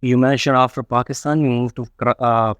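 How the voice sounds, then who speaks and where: A man hosting a podcast interview, speaking earnestly in careful, slightly halting phrases. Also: mid-range voice, fairly even intonation, a bit bright, smooth, dry recording. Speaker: podcast host.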